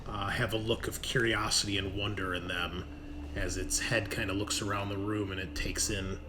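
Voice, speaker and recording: A man running a tabletop roleplaying game as game master, narrating in a halting, descriptive way over an online call. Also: on the low side, little pitch movement. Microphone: online group call. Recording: clear background noise.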